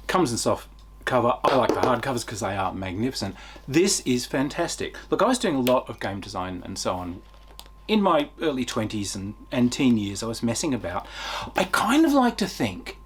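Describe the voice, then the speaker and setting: A man's voice: medium pitch, slightly rough. A middle-aged man giving a casual, unscripted video review in an enthusiastic, emphatic way, as a tabletop game reviewer.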